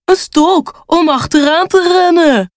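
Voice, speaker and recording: A woman playing a plucky, high-voiced little pug. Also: slow pace, dry recording, clean studio sound. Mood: eager. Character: plucky little female pug dog, playful, frank. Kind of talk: children's storybook character voice.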